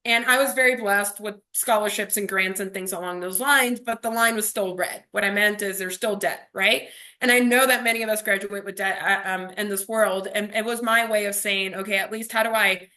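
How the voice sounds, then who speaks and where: A woman's voice: mid-range voice, steady tone, moderate pace, few pauses, bright, forceful tone. A woman from Miami, a native Spanish speaker, telling her personal story as an interview guest in a reflective way, often checking in with short rhetorical questions. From Miami, USA.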